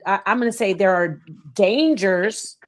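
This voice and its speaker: A woman's voice: mid-range voice, measured pace. A middle-aged woman hosting a casual panel discussion, thoughtful and hesitant, often restarting her sentences. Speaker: panel host.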